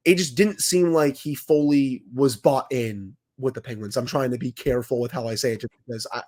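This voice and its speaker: A man co-hosting a hockey podcast while fighting a cold, a bit raspy, giving opinionated takes full of stats and careful hedges. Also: mid-range voice, brisk, darkish tone. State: has a cold, slightly raspy. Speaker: podcast co-host, hockey analyst. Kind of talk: casual sports podcast talk.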